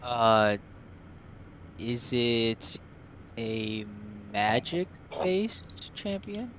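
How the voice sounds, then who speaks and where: Male podcast panelist, lively varied intonation, casual banter, audible background noise. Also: mid-range voice, drawn-out words. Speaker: podcast panelist, quiz contestant.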